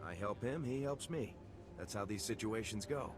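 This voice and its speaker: An actor playing a resolute army lieutenant in a video game, terse and matter-of-fact. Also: male voice, fairly low pitch, expressive, normal speed, close to an everyday voice. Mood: businesslike. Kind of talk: video game cutscene acting. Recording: game soundtrack music behind.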